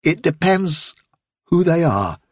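An earnest male rabbit leader presses for answers in a firm, urgent way, as a man reads him. The voice is slightly husky and swings lively in pitch. It sounds close and dry, like a storybook reading. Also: slow pace, no room sound, heavily acted.

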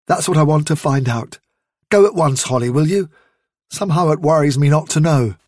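A man's voice: on the high side, moderate pace, darkish tone, dry recording, studio quality, lightly acted. A man voicing a male rabbit leader who questions urgently and firmly. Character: male rabbit leader, earnest, firm and inquisitive.

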